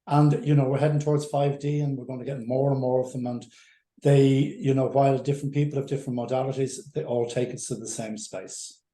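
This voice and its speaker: A man co-hosting a casual chat, speaking in a hesitant, halting way. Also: medium pitch, fairly even intonation, dark tone. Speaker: co-host. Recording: dull-toned, echoing room.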